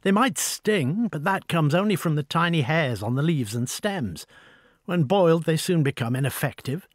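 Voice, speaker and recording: A man voicing a tall, proud older woman with an upper-class English accent, brisk and wry in an audiobook. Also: fairly high pitch, animated, no room sound, studio quality, voice pushed up. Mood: commanding. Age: over forty. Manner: brisk, commanding, wry and talkative. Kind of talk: audiobook dialogue.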